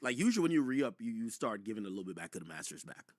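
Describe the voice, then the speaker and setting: A man's voice: fairly low pitch, very animated, racing, no echo. Guy streaming live reaction commentary, emphatic n opinionated, swears a lot, voice swoops around, dry close sound. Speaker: streamer commenting on rap news; record label owner.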